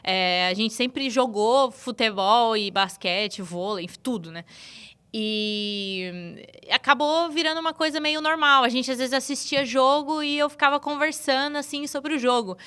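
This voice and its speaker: Bright, clear-voiced young São woman. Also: high voice, fairly even intonation, a little slow. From São Paulo state, Brazil. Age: 20s. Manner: chatty, loose, many filler tags. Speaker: sports journalist guest. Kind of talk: casual interview chat.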